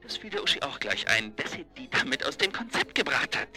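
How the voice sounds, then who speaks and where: A man's voice: mid-range voice, big pitch swings, a little fast, music in the background, natural voice. An actor playing a chatty, eager male investigator reporting his findings in a naturalistic radio drama. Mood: chatty, pleased.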